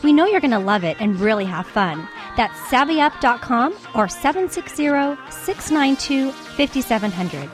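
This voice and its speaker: Woman doing a scripted radio promo, kinda high, faint music behind. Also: sing-song, normal speed, hardly any pauses. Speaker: radio show co-host, financial advisor. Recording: music bed underneath.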